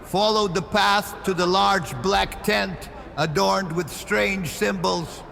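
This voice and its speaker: A man's voice: sits high, steady tone, takes it slowly, gravelly, sharp tone, soft background music, heavily acted. A man playing a helpful fairground merchant in a horror audio drama. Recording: echoey hall, background ambience noise.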